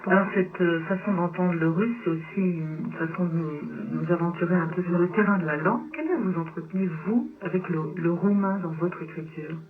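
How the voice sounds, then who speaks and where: A woman's voice: moderate pace, few pauses, a crowd in the background. A woman hosting a conversational radio interview, putting questions to a guest. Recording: tinny low-bitrate shortwave radio, clear noise.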